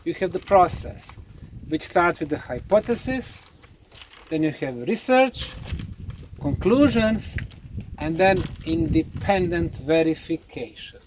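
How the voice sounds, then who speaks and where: Slow, emphatic man presenting outdoors. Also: fairly high pitch, animated, slight background noise. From Bosnia. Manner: emphatic, deliberate, asks rhetorical questions. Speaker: documentary presenter and author. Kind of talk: on-location lecture to camera.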